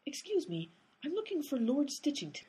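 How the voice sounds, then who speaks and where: An actress in a radio comedy playing an exasperated female amateur sleuth who talks in a brisk, bossy way. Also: sits high, huge pitch swings, dark tone. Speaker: lead character, amateur sleuth. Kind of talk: comic radio play acting.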